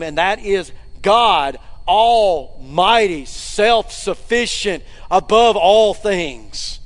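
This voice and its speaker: A man preaching a sermon in a church, with emphatic swells and asides to the congregation. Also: sits high. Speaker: pastor. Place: church sanctuary. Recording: hall reverb.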